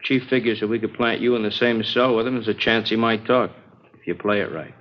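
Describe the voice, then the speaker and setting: A man's voice: on the low side, steady tone, fairly quick, natural voice. A man playing a businesslike senior police officer in a 1950s radio drama, matter-of-fact in delivery. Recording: dull, faintly noisy vintage radio recording.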